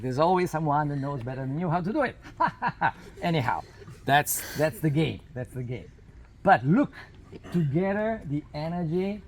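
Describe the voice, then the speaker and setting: A man who is not a native English speaker gives an informal explanatory talk to a group in a halting, emphatic way, with non-native phrasing. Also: a little high. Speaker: spiritual workshop leader. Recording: noisy outdoor recording, natural ambience.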